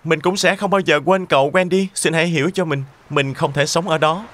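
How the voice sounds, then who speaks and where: A man voices a loyal boy adventurer in a cartoon fairy tale. The voice is wistful and somewhat high for a male. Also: fairly even intonation, fairly quick, a bit bright, natural voice. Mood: wistful, sincere.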